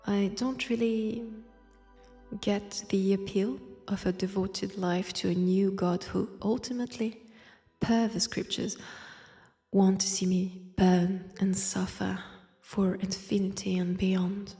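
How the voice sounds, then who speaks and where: A woman with a French accent voicing an ancient, teasing vampire who poses as a nun, in a hushed, intimate roleplay drama. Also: medium pitch, steady tone, soft background music. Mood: sardonic. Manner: slow, teasing, hushed, dropping into whispers. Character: ancient, powerful female vampire posing as nun, teasing, sardonic. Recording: long church-like reverb.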